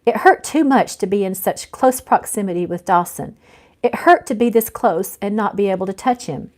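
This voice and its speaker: A woman author reading her novel aloud in an animated storytelling style. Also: medium pitch, normal speed. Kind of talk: animated audiobook-style narration.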